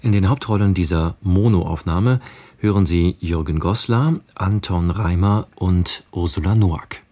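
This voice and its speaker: Male radio announcer. Very low, mellow, slightly rough voice, formal measured reading. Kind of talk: scripted formal programme introduction.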